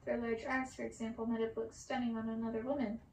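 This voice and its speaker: A woman's voice: a little high, flat delivery, quick pace, darkish tone. A woman narrating a story, reading it aloud. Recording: roomy, distant mic, faint noise.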